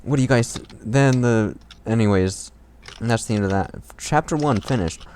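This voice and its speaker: A man's voice: mid-range voice, normal speed, darkish tone. A man reading fan fiction aloud in a casual comedic way, amused, swearing and breaking off to comment. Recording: noisy home recording with clicks and typing.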